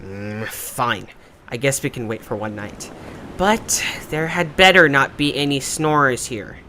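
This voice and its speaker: A man's voice: mid-range voice, expressive, a little slow, sharp tone, a little hiss or hum. A man playing a bossy, scornful young creature who wants to lead, haughty and impatient, in an amateur audio drama. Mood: grudging.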